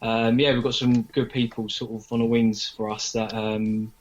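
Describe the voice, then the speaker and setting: A man's voice: medium pitch, flat delivery, normal speed. A man from a band chatting casually and hesitantly in an interview over a lo-fi connection. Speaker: band member being interviewed. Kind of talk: casual chat.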